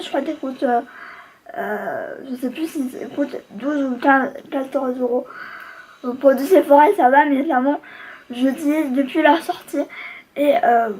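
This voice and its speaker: A woman with a high-pitched voice talks to her viewers as a beauty vlogger. Her delivery is casual and slangy, full of gushing enthusiasm. A faint layer of noise sits behind her voice. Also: darkish tone, low-quality recording. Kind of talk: casual makeup product chat to viewers.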